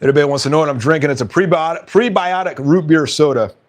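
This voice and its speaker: A man with a fairly high voice hosts a relaxed live stream. He talks casually and reads out viewer comments, laughing often. His voice sounds slightly hoarse. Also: fast talker.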